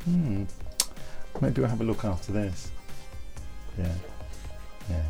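A man's voice: fairly low pitch, big pitch swings, slow, creaky, music in the background. A British man hosting a podcast in casual, teasing chat. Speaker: podcast host.

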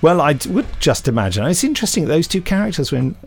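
Gravelly, lively male podcast host. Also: a little high, fast talker, soft background music. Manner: jokey, chuckling asides. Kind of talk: casual podcast chat, reading listener emails.

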